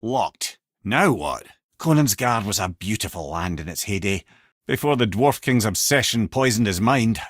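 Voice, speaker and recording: An actor playing a witty, middle-aged talking head of an old sage in a video game, with a Scottish accent and a wry storyteller's manner. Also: male voice, on the low side, expressive, moderate pace, dry recording, studio quality. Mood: thoughtful. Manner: wry, loquacious storyteller, avuncular.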